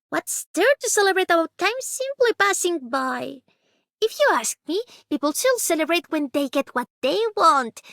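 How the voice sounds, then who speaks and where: A woman playing a blunt, reflective young female character in a video game, frank and expressive. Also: high voice, no room sound, studio quality.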